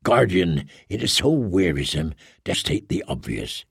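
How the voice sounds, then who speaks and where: A man voicing a huge, solemn male trader who speaks slowly and formally, with a dry, deadpan manner. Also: sits low, gravelly, slightly rough, no echo, voice pushed down, heavily acted.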